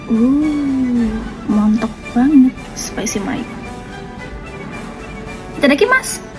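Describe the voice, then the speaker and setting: A woman chats casually as she tastes food. Her voice is a little high and slow-paced, with music clearly behind it. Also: rough recording. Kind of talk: casual mukbang chat. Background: prominent music.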